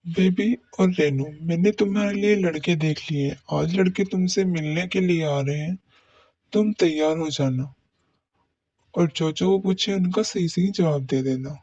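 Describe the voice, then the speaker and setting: A man's voice: on the high side, a little slow, darkish tone, no room sound. A man voicing a doting, indulgent middle-aged merchant father in cartoon dialogue, on a slightly dull recording. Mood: decided.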